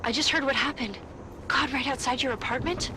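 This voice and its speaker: A worried, caring friend, voiced by a woman, talking in a fairly high, anxious voice. Game music plays clearly behind her. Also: fairly quick, natural voice. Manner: anxious, concerned. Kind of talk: video game dialogue.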